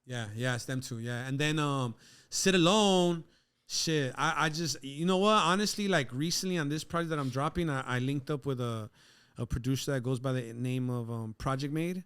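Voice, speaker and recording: A man's voice: mid-range voice, moderate pace, fairly bright tone, clean tone. A middle-aged man talking casually as an interview guest, streetwise and profane, often adding "you know what I'm saying". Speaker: guest rapper.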